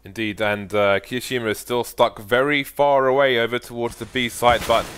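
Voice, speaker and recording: A man commentating on a competitive video game match, measured and analytical, with playful banter. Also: medium pitch, fairly even intonation, a bit bright, slight background noise. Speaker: esports caster. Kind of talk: color commentary.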